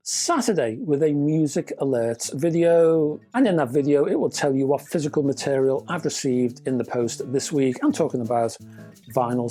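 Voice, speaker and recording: A man presenting a scripted product review, speaking in measured, deliberate phrases with chatty, whimsical turns. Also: medium pitch, darkish tone. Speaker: reviewer and presenter of an audio gear channel.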